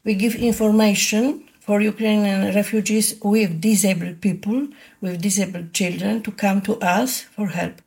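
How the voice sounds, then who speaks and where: An older woman speaks halting English with a Polish accent, and Polish is her first language. Her voice is gravelly at a middling pitch. She describes her charity work plainly. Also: steady tone, a little mellow. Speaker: charity founder.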